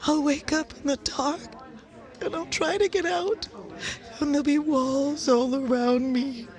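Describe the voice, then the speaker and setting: Casting: terrified young bride buried alive, very high voice, slow tearful pleading, vintage radio horror. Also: female voice, fairly even intonation, a little mellow. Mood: hysterical, insistent. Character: young bride trapped alive in her coffin, desperately pleading. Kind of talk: live audio horror drama in 1930s radio style.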